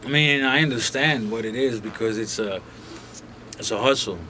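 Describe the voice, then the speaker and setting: A man's voice: medium pitch, moderate pace, pushed and bright. A young man from Colombia talks bluntly in a casual studio interview, his voice sitting in a middle register. He swears freely and leans on filler phrases like a streetwise talker. A faint hiss sits under the recording. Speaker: interview guest.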